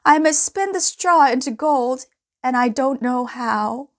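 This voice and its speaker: A woman's voice: sits high, very slow, voice pushed up. A woman voicing a frightened, helpless young miller's daughter in a storybook, plaintive and hesitant. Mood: distressed.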